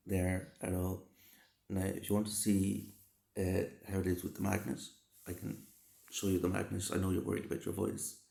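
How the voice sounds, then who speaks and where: A man's hoarse, gravelly voice sounds in an echoey room. He gives casual advice in a dark, mellow tone, reassuring the listener and often rephrasing what he has just said. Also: low voice. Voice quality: gravelly, slightly rough. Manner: reassuring, repeats and rephrases himself. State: hoarse from a cold. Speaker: vlogger answering a subscriber. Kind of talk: casual advice talk to camera.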